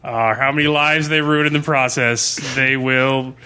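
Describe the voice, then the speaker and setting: A man's voice: medium pitch, fairly even intonation, measured pace, pushed and bright, smooth. A man co-hosting a podcast, chatting casually and informally as a game master about a tabletop campaign.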